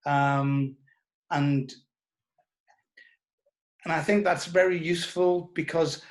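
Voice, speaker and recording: A middle-aged man giving a reflective interview, telling anecdotes in a hesitant, measured way. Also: fairly high pitch. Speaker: writer interviewed about audio drama scripts.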